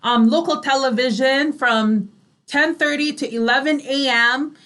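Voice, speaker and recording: High-voiced woman hosting radio chat. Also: a little slow, fairly bright tone. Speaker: online radio talk show co-host. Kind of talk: casual radio chat and show announcements.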